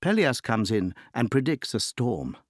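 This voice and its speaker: A man reading scripted narration that introduces an opera, in an expressive, literary storytelling manner. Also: mid-range voice, measured pace, soft background music.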